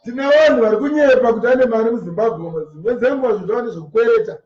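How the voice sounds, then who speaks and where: A man's voice: very high-pitched, rapid-fire, a little mellow. A man with a Zimbabwean Shona accent preaching a sermon, emphatic and repeating his phrases for effect. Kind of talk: sermon expounding scripture line by line. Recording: distant mic in echoey, muffled room.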